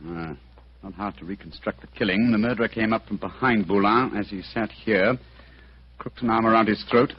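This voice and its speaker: Brisk detective, muffled old radio. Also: male voice, on the low side, husky. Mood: analytical. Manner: incisive, articulate, authoritative. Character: brilliant, brisk, exacting English gentleman detective. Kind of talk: old-time radio drama acting. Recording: dull, hissy old radio recording.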